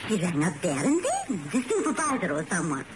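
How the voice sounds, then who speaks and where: A woman playing a chatty, inquisitive middle-aged mother in an old-fashioned radio drama, genteel, gossipy and gently chiding. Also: on the low side, sing-song, fairly quick, a little hiss or hum, rough recording.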